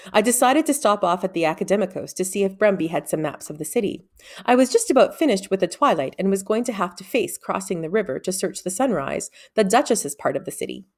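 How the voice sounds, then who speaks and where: A woman reading a children's fantasy novel aloud as an expressive first-person narrator. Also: mid-range voice. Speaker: audiobook-style reader.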